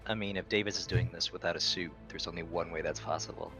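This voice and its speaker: A man's voice: low-pitched, fairly even intonation, fast talker, sharp tone, faint music behind. A man talking casually as a player in a tabletop roleplaying game.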